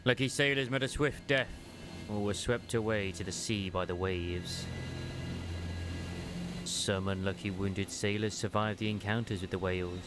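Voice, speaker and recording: Low-voiced man narrating over music. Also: moderate pace. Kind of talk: dramatic scripted story narration, fan dub.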